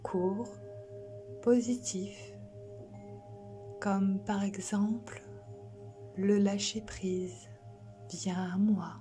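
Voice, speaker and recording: A woman leads a guided sleep meditation in a soft, breathy, near-whispered voice. Her tone is dark and mellow, and her delivery is very unhurried and flat, with long soothing pauses. Gentle music plays behind her. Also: mid-range voice. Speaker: yoga nidra instructor.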